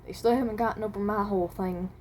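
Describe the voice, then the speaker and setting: A woman's voice: medium pitch, steady tone, fast talker, darkish tone, a little hiss or hum, lightly acted. A woman playing a guarded, awkward animatronic possessed by someone who died at seventeen, in a hesitant, rambling amateur monologue. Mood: reluctant, guarded. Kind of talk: amateur character voice acting, improvised monologue.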